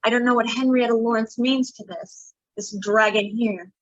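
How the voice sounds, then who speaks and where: High-pitched, pouty little schemer, played by a woman. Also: fairly even intonation, normal speed, no room sound, muffled recording, lightly acted. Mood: sly, grudging. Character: sly, greedy, not-bright young female blackmailing doll. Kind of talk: noir radio drama acting.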